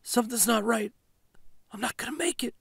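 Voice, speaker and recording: A man voicing a desperate, breathy woman in agony for an audiobook. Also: very high-pitched, brisk, fairly bright tone, no echo, studio quality, voice pushed up, exaggerated voice. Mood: breathy, faltering.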